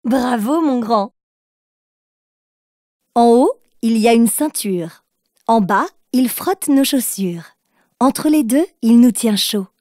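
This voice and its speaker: A woman's voice: fairly high pitch, animated, takes it slowly, pauses often, mellow, dry recording. A woman playing a patient, encouraging mother figure who tells riddles to young children in a cartoon.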